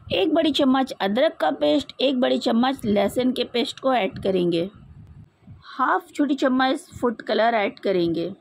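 A woman's voice: sits high, expressive, a little slow, gravelly, dry recording, low-quality recording. A woman with an Indian accent narrating a recipe step by step in a methodical, instructional way. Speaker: home cook presenting a recipe. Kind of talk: step-by-step cooking tutorial narration.

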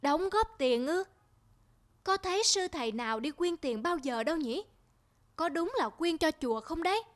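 A credulous village housewife in a folktale cartoon , acted by a woman. Her voice is very high and her talk is wary and full of questions. Loud music sits behind her. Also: animated, normal speed. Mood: doubtful. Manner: doubtful, questioning.

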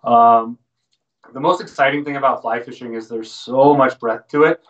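A man's voice: mid-range voice, fairly even intonation, normal speed. An American man in his thirties giving a casual, informative livestream talk as a shop presenter in a small indoor room. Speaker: fly fishing shop presenter. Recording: distant, slightly dull webcam sound.

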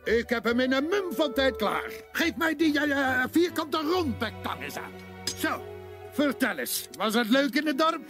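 A man's voice: very high voice, sing-song, measured pace, fairly bright tone, exaggerated voice. Muddled older cartoon inventor, kindly father, flustered, swooping intonation, faint music behind. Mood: affectionate. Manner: flustered, stammering, affectionate. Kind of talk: animated musical film dub.

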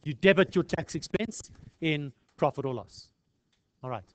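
A man's voice: fairly high pitch, animated, normal speed, no echo. A South African man lecturing in an informal tutorial style, conversational and peppered with rhetorical questions. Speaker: accounting lecturer.

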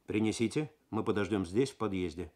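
A man's voice: lowish voice, fairly quick, darkish tone, pitched below the performer's own voice, natural voice. A man voicing a burly, stern fake policeman in a radio story, official and mocking in manner.

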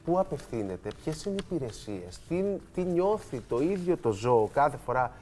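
A man hosting a TV talk show, summing up in a measured way from a studio set on a lapel mic. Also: mid-range voice, big pitch swings, darkish tone, soft background music. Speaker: TV host.

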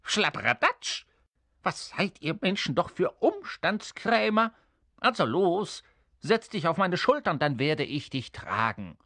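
A man voicing a tiny, prickly, greedy goblin who eats dreams, shrill and bossy in a storybook reading. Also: sing-song, pushed and bright, no room sound, exaggerated voice. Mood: exasperated, bossy. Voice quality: shrill, cackling. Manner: bossy exclamations, chuckling, drawn-out words.

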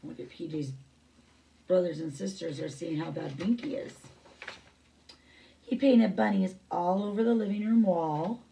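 Middle-aged female teacher for storybook read-aloud, low creaky voice, picked up from a little way off. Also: measured pace, pauses often, dark tone, distant mic, rough recording. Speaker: teacher reading a picture book aloud.

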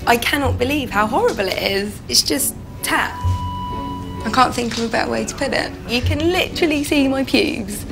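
A woman's voice: fairly high pitch, normal speed, vocal fry, music in the background. A British woman in her twenties, talking candidly as a bride on a reality show. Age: 20s. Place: indoors, bridal shop.

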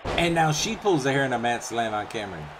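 Excitable man calling video game wrestling. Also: mid-range voice, fast, crowd noise, background noise. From Puerto Rican background. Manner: casual, chatty asides between calls, excited on big moves. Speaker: wrestling commentator. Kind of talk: play-by-play commentary over a video game. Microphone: home microphone, voice-over on game footage.

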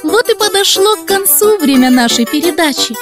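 A woman voicing a gentle little girl puppet who hosts and tells Bible stories to children in a kindly, expressive way. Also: very high voice, moderate pace, over loud music. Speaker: host and storyteller.

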